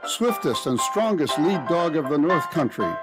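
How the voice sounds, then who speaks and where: A man's voice: on the high side, very animated, normal speed, loud music behind the voice, poor audio. A man announcing and narrating in a dramatic, theatrical old-time radio style.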